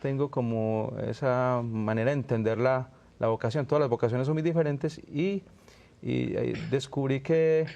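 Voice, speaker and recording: A man's voice: medium pitch, steady tone, moderate pace, vocal fry, dark tone, dull audio. A man in his thirties with a Colombian accent from Antioquia, a priest giving reflective, searching answers in an interview.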